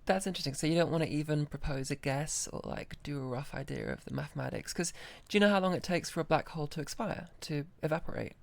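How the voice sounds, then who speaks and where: A woman casually questioning a conversation partner as an interviewer, polite, curious and gently persistent. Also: low-pitched, quick pace, creaky, no echo. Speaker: interviewer testing an AI voice.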